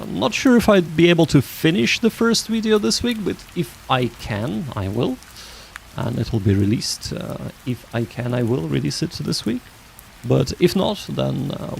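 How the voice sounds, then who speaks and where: A man hosting a study livestream, chatting casually in a halting, hesitant way. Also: mid-range voice, vocal fry, slight background noise, low-quality recording.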